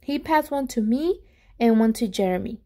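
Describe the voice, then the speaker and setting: A woman with a fairly high voice reads a picture book aloud for children. She is expressive and animated, leaning into dramatic emphasis and speaking to her young listeners as a storyteller. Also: moderate pace. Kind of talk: expressive storybook reading, pausing to ask children questions.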